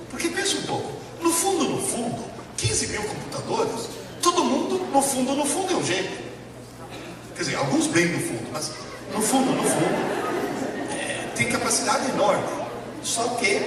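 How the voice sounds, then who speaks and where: Animated, high-voiced male lecturer in an echoey hall. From Italy. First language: Italian. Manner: emphatic, with dramatic pauses and repetition. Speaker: professor giving a lecture. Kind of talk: motivational educational lecture to a live audience. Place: large lecture hall with an audience. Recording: distant mic, echoey noisy hall.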